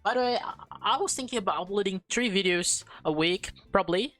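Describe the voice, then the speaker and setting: A woman hosts a casual game playthrough with music playing faintly underneath. She has a medium-pitched voice and an informal, playful manner. She moves between commentary and reading aloud, sometimes tripping over words. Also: brisk, a bit bright. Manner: informal, playful reacting, stumbles while reading. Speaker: gaming video host. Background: background music.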